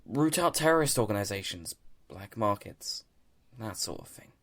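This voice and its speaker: An actor playing an earnest, loyal young military subordinate in an audio drama, speaking carefully and hesitantly. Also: male voice, on the low side, lively intonation, moderate pace, a bit bright. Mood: careful, guarded.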